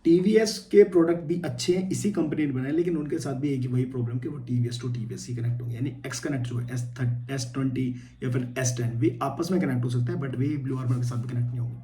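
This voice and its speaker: A man's voice: mid-range voice, steady tone, fast, hardly any pauses, warm tone. A man giving a casual gear review for a motovlog, chatty and informal, dropping in English phrases. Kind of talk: casual product review vlog. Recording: clearly noisy vlog audio.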